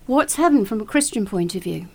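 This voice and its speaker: A woman's voice: medium pitch, animated, brisk. A woman hosting a casual podcast conversation, asking questions and chiming in with short affirmations. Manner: inquisitive, brief affirmations. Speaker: podcast host.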